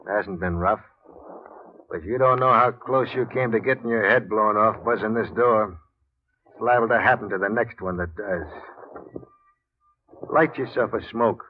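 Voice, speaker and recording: Male henchman for radio noir, acted: fairly low, controlled and terse, on a muffled recording with faint noise. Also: normal speed, pauses often, close to an everyday voice. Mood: tough, terse. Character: gangster's armed henchman, posing as a polite butler. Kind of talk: 1950s radio crime drama acting. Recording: dull, noisy old radio recording.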